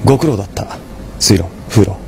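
An actor playing a composed, commanding young mafia lord in an audio drama, terse and resolute. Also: male voice, fairly low pitch, lively intonation, brisk, creaky, mellow, slight background noise. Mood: cool command. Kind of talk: Japanese drama CD acting.

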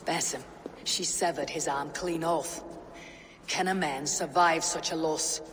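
A woman's voice: sits low, steady tone, a little slow, slight background noise. A woman playing a grim, determined Viking warrior in a video game, speaking in a terse, commanding, grave way. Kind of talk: dramatic video game cutscene acting. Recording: game music behind the voice.

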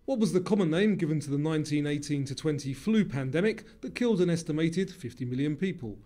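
A man narrating a scripted quiz, reading questions and answers in a measured, formal way. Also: on the high side, animated, dark tone. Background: music.

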